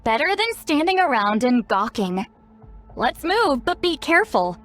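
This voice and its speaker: A woman's voice: high-pitched, big pitch swings, unhurried, a bit bright, soft background music, heavily acted. An actress playing a cocky, blunt young female shaman in a video game, speaking in a self-assured, brusque, explanatory way. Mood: frustrated, brisk. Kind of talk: animated video game voice acting.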